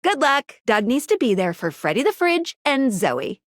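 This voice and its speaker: A woman's voice: high voice, sing-song, moderate pace, dry recording, studio quality. A woman voicing a peppy, quirky female guide in a dating sim video game, with a perky, theatrical delivery. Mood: brisk, informative. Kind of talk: animated video game character voice lines.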